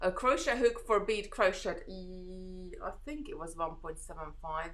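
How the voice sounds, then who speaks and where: A woman with a Hungarian accent talks through a relaxed live stream from home, her voice sitting a little low. She chats warmly with viewers, reassuring them, wandering off on tangents and restarting her sentences, with plenty of fillers along the way. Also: a little slow. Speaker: host of a beading live stream. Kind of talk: casual live chat reading viewer comments.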